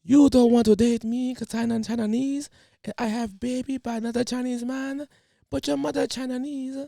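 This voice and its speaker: A man voicing a high, mocking woman in an exaggerated, sing-song way. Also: fairly even intonation, normal speed, vocal fry, dark tone, no echo, clean studio sound.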